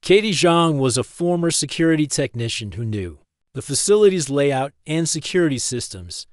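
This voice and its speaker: A man reading a scripted story as an audiobook-style narrator, in a measured, dramatic storytelling manner. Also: fairly high pitch, animated, dry recording, clean studio sound.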